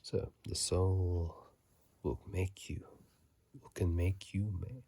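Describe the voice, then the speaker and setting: Man, hushed ASMR whisper, very slow, dark soft tone, breathy, halting, sleepy, close dry phone recording. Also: deep voice, fairly even intonation, no room sound. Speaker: video host. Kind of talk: casual game commentary. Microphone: close phone microphone.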